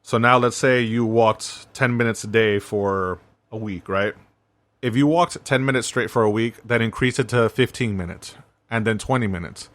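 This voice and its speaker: A man's voice: fairly low pitch, moderate pace, a bit bright, no echo. A man hosting a self-help podcast, talking in a laid-back, conversational way and often checking in with "right?". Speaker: podcast host.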